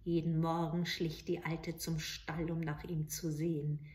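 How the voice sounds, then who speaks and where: A middle-aged woman narrating a fairy tale for children with expressive, dramatic and deliberate phrasing. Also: low voice. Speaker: storyteller. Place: small indoor room with bookshelves.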